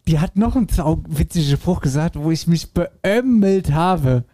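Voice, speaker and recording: A male podcast co-host chats in an upbeat, teasing way and talks straight to his listeners through a headset. His voice is fairly high but mellow. The recording is dry and close, with no room sound. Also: lively intonation, moderate pace, dry recording. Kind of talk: casual chat.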